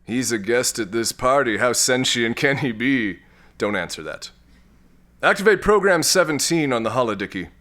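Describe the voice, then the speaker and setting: An actor playing a vain, lecherous, cowardly space lord in a florid, grandiose manner for a comic sci-fi audio drama. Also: male voice, mid-range voice, expressive, normal speed, pushed and bright. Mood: panicked, bossy.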